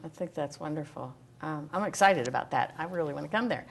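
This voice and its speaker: A woman's voice: deep voice, fast talker, gravelly. A woman hosting a local TV health program, interviewing guests in an upbeat, encouraging way. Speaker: TV health show host.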